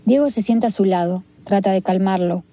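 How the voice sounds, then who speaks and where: A woman reporter narrating a documentary story in a measured, descriptive way. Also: mid-range voice, warm tone. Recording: clear music bed underneath.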